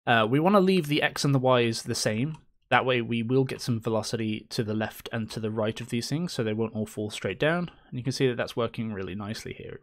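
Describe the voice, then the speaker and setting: A man hosting a screen-recorded game engine tutorial, chatty and upbeat with playful little cheers, his voice slightly hoarse from a coming cold. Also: mid-range voice.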